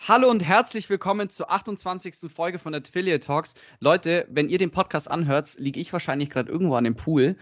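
A man's voice: fairly high pitch, a little fast, hardly any pauses, a bit bright. A man hosting a podcast, opening the episode in a chatty, joking way. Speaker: podcast host. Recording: dull lo-fi sound.

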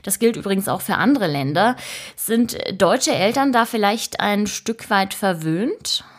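A woman's voice: medium pitch, lively intonation, unhurried. Woman presenting podcast news. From Italy.

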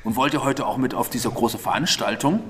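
A man's voice: mid-range voice, a little fast, a bit bright. A man hosting an improvised audio play as head of a magic school, interviewing children and echoing their answers encouragingly. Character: cheerful head of a wizard school. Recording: echoey hall, faint background noise.

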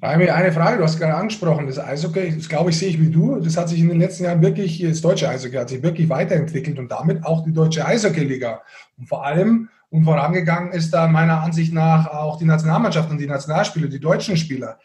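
A man's voice: a little high, quick pace, a little mellow. A man co-hosting a podcast, putting a long conversational question to a guest. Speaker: podcast co-host. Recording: echoey room.

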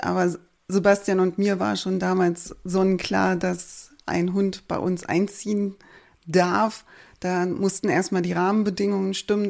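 Slow, gravelly-voiced German woman host. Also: medium pitch. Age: 40s. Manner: calm, unhurried, with fillers and repeated words. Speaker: podcast host. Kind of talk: personal introduction monologue.

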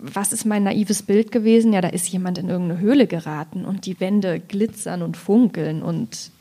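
A woman's voice: mid-range voice, moderate pace, warm tone. A woman speaking as an author at a literary event, reflecting aloud in conversation about her writing. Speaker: author, guest at a reading event. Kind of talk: conversational literary discussion with passages read aloud.

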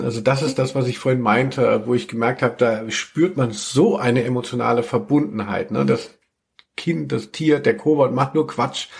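A man's voice: medium pitch, creaky. Man chatting casually on a podcast. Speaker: podcast host.

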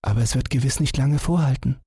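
A man voicing a sweet, playful young princess with a soft, girlish manner in a fairy-tale audiobook. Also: mid-range voice, fast, breathy edge, dry recording, clean studio sound, pitched above the performer's own voice. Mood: coy.

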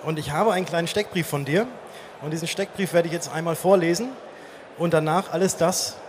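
A man's voice: a little high, fairly quick. A man hosting a casual interview on stage at a live event. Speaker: interview host. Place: live stage at a trade fair. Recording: faint background noise, live event.

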